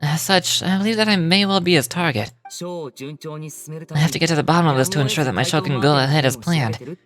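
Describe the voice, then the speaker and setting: A man's voice: fairly high pitch, brisk, a bit bright, soft background music, lightly acted. Man voicing a courteous, theatrical young magician. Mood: composed, explanatory. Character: polite, smooth-talking, proud young male stage magician.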